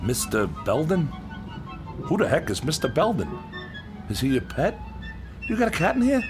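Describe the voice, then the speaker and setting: A man's voice: fairly high pitch, huge pitch swings, moderate pace, over music. An actor playing a brusque, skeptical working man in a radio drama, blunt and colloquial. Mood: incredulous. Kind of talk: dramatic radio play acting.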